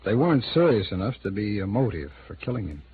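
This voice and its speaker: A man acting a smooth-talking but defensive American city attorney in his thirties, in an old-time radio drama. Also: on the low side, very animated, quick pace. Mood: candid, defensive. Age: 30s. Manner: hesitant, defensive, conversational. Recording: phone-like, noisy old radio recording.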